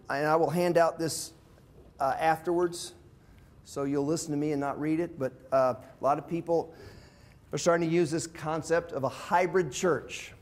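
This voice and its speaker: An older man, around sixty, a pastor giving an informal, plain-spoken teaching talk to a group, explaining things step by step. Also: fairly high pitch. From from abroad, visiting South Africa. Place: indoor classroom with whiteboard and screen. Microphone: lapel mic.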